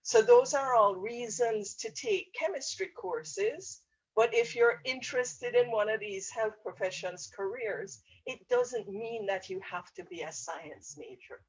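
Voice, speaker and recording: This is a woman with a Jamaican accent and a husky edge to her voice. She speaks as a university professor explaining options to students on an online panel. Her phrasing comes in hesitant pieces, and the audio is somewhat dull and muffled. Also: fairly high pitch, measured pace. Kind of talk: explanatory advice to students in a webinar.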